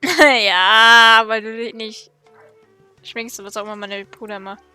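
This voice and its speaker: A woman's voice: on the high side, fairly even intonation, slow pace, bright, forceful tone, clean tone, dry recording, soft background music. A woman giving casual game commentary as a streamer.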